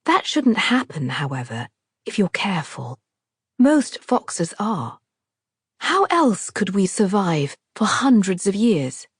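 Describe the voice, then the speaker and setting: A woman reading a novel aloud as a scripted literary narration, in a measured, deliberate, expressive storytelling manner. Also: mid-range voice, breathy edge, dry recording, clean studio sound. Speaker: author narrating her own audiobook.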